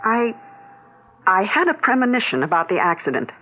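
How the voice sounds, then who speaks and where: Earnest grandmother played by a woman, animated delivery. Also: medium pitch, moderate pace, a little hiss or hum, lightly acted. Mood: earnest, insistent. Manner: gracious, earnest, insistent. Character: earnest grandmother in hospital, convinced of her premonitions. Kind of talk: 1970s radio drama acting. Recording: muffled, phone-like 1970s radio recording.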